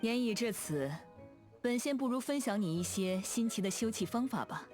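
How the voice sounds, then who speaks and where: A woman's voice: fairly high pitch, lively intonation, normal speed, a little mellow, close to an everyday voice. A woman voicing a proud, aloof immortal adept in a video game, with a composed, lofty manner. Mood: proud, playful. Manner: lofty, archaic self-reference, composed. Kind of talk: game character voice line. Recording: background music behind the voice. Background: music.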